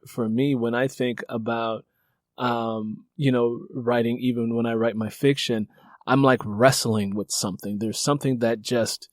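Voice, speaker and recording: A man's voice: mid-range voice, no room sound. Man interviewing, rambling conversationally in a dry room. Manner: animated storyteller who acts out remembered exchanges; rambling, repeats "you know". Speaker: interviewer and novelist.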